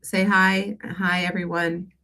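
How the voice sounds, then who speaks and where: A woman with a low voice speaks through a congested, stuffy nose. She hosts a casual coaching session as a life and business coach. Her delivery is conversational and a little hesitant, often checking in with the people listening. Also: flat delivery, slow pace, bright, forceful tone. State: congested from allergies. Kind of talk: casual live Q&A coaching talk.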